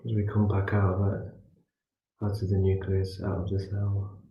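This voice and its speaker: A man leading a guided meditation as a class teacher, speaking soothingly in short phrases with pauses between them. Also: low voice, completely flat delivery. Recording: muffled echoey room, distant from mic.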